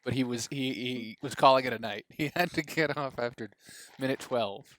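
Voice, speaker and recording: A man's voice: mid-range voice, moderate pace, fairly bright tone, no echo. A man co-hosting a podcast in casual chat, rambling, joking and cutting himself off mid-sentence. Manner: rambling, self-interrupting, joking. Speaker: podcast co-host.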